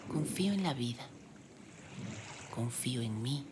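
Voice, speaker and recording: A woman leading a guided meditation in a gentle, soothing delivery with pauses. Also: extremely low pitch, heavy background noise. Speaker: meditation guide, yoga teacher. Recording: steady loud sea-wave background sound. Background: sound of ocean waves.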